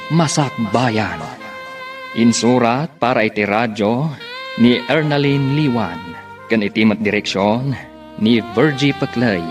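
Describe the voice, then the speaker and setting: A man announcing and narrating a radio drama serial in a formal, measured, scripted style. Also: mid-range voice. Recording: music bed behind the announcer.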